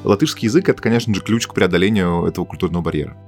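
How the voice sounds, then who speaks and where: Deep-voiced fast-talking man telling stories. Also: a little mellow, faint music behind. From Russia. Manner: long anecdotal storytelling with frequent fillers. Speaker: podcast host. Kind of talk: casual conversational podcast chat.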